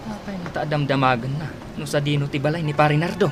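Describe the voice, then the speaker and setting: Actor as inquisitive Filipino driver. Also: male voice, a little high, fast, loud music behind the voice, close to an everyday voice. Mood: polite, curious. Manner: deferential, inquisitive. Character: curious, friendly male driver at a terminal. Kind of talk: radio drama acting.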